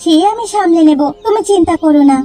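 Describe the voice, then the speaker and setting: A woman voicing a gentle, principled young sister-in-law in a cartoon, speaking in an earnest and calmly resolute way. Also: very high-pitched, fast talker, soft background music. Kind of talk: Bengali cartoon voice acting.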